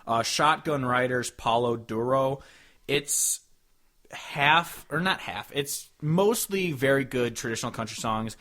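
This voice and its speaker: A man's voice: mid-range voice, measured pace, sharp tone. Casual, joking man co-hosting a podcast. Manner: profane, joking, informal. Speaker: podcast co-host. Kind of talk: casual country music review chat.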